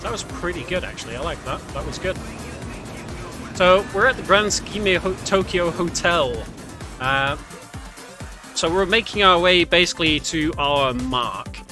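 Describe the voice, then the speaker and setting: A man's voice: sits high, expressive, unhurried. A man giving casual, slightly hesitant gameplay commentary as a speedrunner, often correcting himself as he goes. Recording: game music behind voice. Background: game music and sounds.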